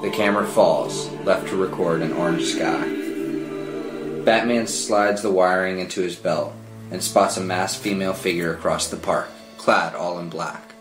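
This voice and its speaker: A man's voice: low voice, steady tone, moderate pace. A man narrating screenplay stage directions as scripted narration, with film-score music behind him. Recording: echoey room with music under the voice.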